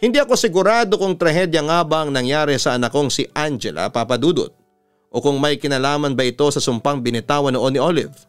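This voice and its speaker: Clear-voiced man narrating over music. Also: fairly high pitch, fast talker. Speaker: radio announcer and storytelling host. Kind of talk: dramatic letter reading and heartfelt narration. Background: music under the voice.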